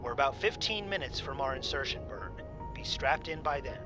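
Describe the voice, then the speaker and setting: A woman's voice: very deep voice, lively intonation, fairly quick, music in the background. A woman playing a commanding spaceship crew member under stress in an audio drama, speaking urgently. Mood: grim, subdued.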